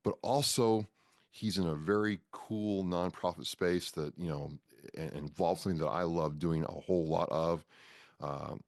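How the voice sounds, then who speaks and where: A man's voice: deep voice, steady tone, moderate pace, dry recording, studio quality. An American man hosting a podcast interview, talking in an enthusiastic, informal way and readily jumping in. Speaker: podcast host.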